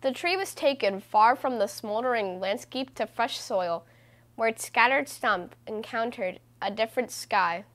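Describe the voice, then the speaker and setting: A woman reading a children's book aloud as host, in a measured, deliberate way with stressed phrases. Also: a little high, lively intonation, fairly bright tone. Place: small room indoors.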